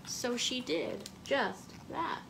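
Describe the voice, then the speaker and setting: Animated woman reading a children's storybook. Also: fairly high pitch, extremely slow, noisy background. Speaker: children's librarian, storytime reader. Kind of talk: picture book read aloud to children. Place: small indoor room.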